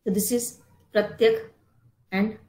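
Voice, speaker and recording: A middle-aged woman with an Indian accent giving an instructive talk in short, deliberate, emphatic phrases, explaining terms as she goes. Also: medium pitch, steady tone, warm tone. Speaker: speaker giving a yoga philosophy talk. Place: indoor room, online meeting. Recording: roomy, echoing virtual meeting audio.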